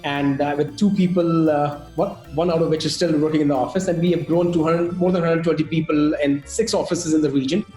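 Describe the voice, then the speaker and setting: A man's voice: a little high, fairly even intonation, brisk, hardly any pauses, mellow, reverberant, soft background music, poor audio. A man who founded a travel company talks eagerly on a video call and fills his speech with "you know". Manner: enthusiastic, many fillers.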